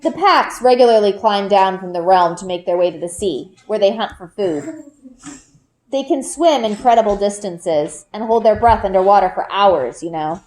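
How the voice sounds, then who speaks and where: Storybook father played by a woman: knowing, kindly, soft-spoken, patient explainer with a lower register. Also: animated, normal speed, slight background noise, close to an everyday voice, poor audio. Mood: calm, instructive. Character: gentle, knowing father, a magical nature seeker. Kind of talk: children's book read-aloud.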